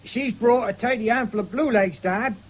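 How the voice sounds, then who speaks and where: An actor playing a young, plain-spoken farmer's son in a radio drama, with a rural English accent and a blunt, homely manner. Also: male voice, sits high, normal speed. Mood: cheerful, plain. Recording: faintly noisy 1970s radio recording.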